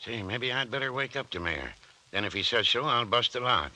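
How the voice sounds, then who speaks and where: A man's voice: medium pitch, lively intonation, brisk, sharp tone. A man playing a folksy, crusty small-town doctor in an old-time radio serial, talking in rustic exclamations. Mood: puzzled concern. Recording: noisy, crackly old-time radio recording.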